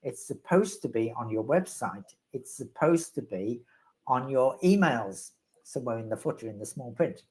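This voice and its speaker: A man's voice: medium pitch, lively intonation, moderate pace, a little mellow, rough recording. A man answering questions as the resident expert in a live webinar, rambling and wry, with self-deprecating humor.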